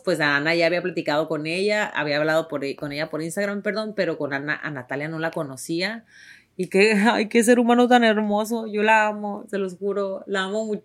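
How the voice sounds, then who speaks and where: A young woman from Tijuana with a northern Mexican accent, telling her story to viewers in a chatty, emphatic way full of fillers. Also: on the low side. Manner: chatty, warm and emphatic, many fillers and restarts. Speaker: actress.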